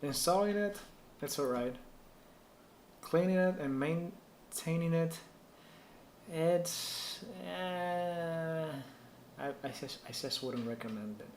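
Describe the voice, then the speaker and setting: A man's voice: on the high side, expressive, slow, pauses often. A man from Puerto Rico whose native language is Spanish, chatting casually as a live stream host and thinking aloud in a rambling way. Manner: rambling, thinking aloud while reading chat. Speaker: live stream host giving PC troubleshooting advice. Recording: echoey room with faint noise.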